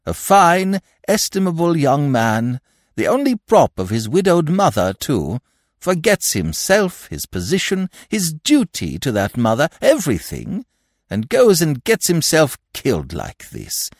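A blustering, easily affronted old lord, as a male narrator puts him on in a storybook reading. His voice rides high and shrill, leaping all over the scale whenever he is crossed. The sound is dry and close. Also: wildly swooping pitch, a little slow, no echo, exaggerated voice. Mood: lamenting. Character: testy elderly male nobleman, fussy and shrill. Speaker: provincial lord and godfather.

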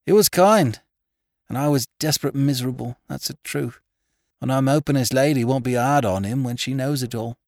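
A desperate country wife as a male narrator acts her out, pitched high and hoarse. Her speech is stark and plain, with rustic turns of phrase. The recording is close and dry. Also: normal speed, darkish tone, no echo, close to an everyday voice. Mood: desperate, miserable. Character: desperate, unhappy rural farmer's wife, plain-spoken.

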